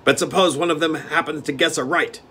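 A man voicing a gruff male gnome steward who growls and scolds his master bluntly. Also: on the high side, steady tone, a little fast, sharp tone, slight background noise, voice pushed up. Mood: gruff, scolding.

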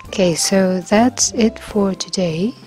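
Woman in her 30s, slow unhurried delivery, soft near-whispers, faint music under it. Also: medium pitch. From Philippines. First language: Filipino. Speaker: language-learning vlogger. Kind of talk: slow reflective monologue.

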